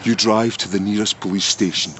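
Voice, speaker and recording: An actor playing a troubled, menacing man haunted by his past, speaking with slow, brooding intensity in a radio drama. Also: lowish voice, steady tone, a little hiss or hum, lightly acted. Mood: cold menace. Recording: dull sound with loud music behind.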